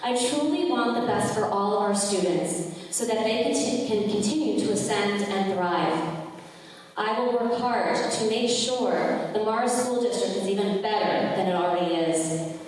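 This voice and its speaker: A woman's voice: mid-range voice, normal speed. A woman delivering a formal, prepared closing speech as a school board candidate at a public forum. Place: large echoey room at a public forum. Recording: echoey hall from far off, faint noise.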